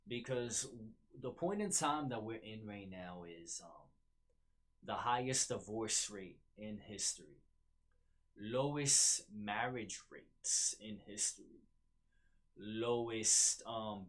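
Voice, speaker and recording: A man's voice: on the low side, lively intonation, slow, fairly bright tone. A man with a New York accent hosting a solo podcast, rambling with many fillers and restarts. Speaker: podcast host.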